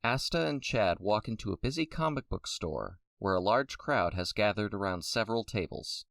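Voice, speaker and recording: A man's voice: fairly low pitch, animated, moderate pace, gravelly, a bit bright, no room sound. A man reading scripted narration for an audio drama. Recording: noisy background ambience.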